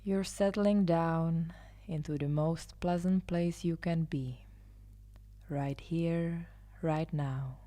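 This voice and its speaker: A woman leading a guided meditation as a yoga nidra guide, soothing and hushed, often trailing into a near-whisper. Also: sits low, fairly even intonation, takes it slowly. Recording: live instrumental music behind the voice. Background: soft live instrumental music.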